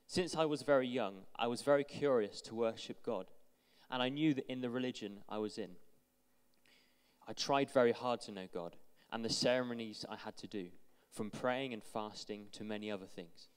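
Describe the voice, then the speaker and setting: A man's voice: mid-range voice, normal speed, smooth. A young man on a church stage, earnestly reading out a friend's testimony into a handheld mic. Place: large church hall with stage. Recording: long hall reverb.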